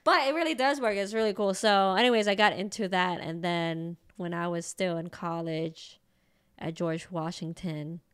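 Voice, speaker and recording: A woman's voice: on the low side, moderate pace, clean tone. A woman, co-hosting a podcast and chatting casually about her path into acupuncture, with lots of fillers and laughs. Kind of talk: casual chat.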